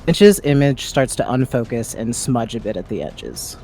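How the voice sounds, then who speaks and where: A man's voice: mid-range voice, big pitch swings, moderate pace, dark tone. A man playing a tabletop game, narrating a character's actions. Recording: faint music behind the voice.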